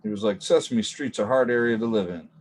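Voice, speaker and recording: A man teaching a drawing class in a relaxed, chatty way, talking through each step and adding jokey asides. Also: mid-range voice, brisk. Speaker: art teacher. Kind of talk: casual drawing lesson.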